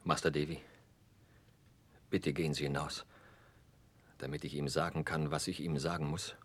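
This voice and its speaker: Husky, heartbroken young working man. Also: very deep voice, little pitch movement, a bit bright, full character voice. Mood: grave, reluctant. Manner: halting, earnest, deferential. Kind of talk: 1960s German radio play acting.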